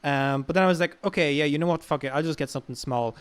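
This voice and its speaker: A man's voice: fairly high pitch, quick pace, clean tone. A young man with an Irish accent telling personal stories in a casual, informal, sweary chat as a podcast guest. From Ireland.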